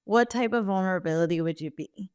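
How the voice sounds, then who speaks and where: Woman host, smooth clear voice, upbeat questioner, dry studio mic. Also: medium pitch, fast, darkish tone, dry recording. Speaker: podcast co-host. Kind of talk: asking interview questions.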